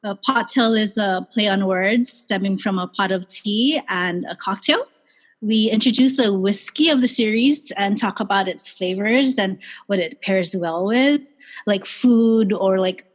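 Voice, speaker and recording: Measured woman guest from Hawaii. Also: mid-range voice. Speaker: podcast guest.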